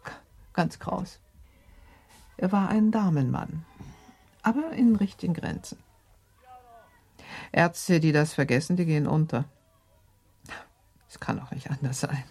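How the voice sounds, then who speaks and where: A woman in a radio play acting a middle-aged mother, brisk, chatty and skeptical, gently scolding in a matter-of-fact way. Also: deep voice, gravelly, breathy edge, exaggerated voice. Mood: chatty, knowing.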